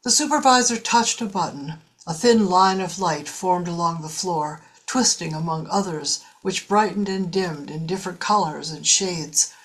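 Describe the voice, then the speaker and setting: A woman's voice: lowish voice, moderate pace, hardly any pauses. A woman narrating a science fiction story in a scripted, audiobook style.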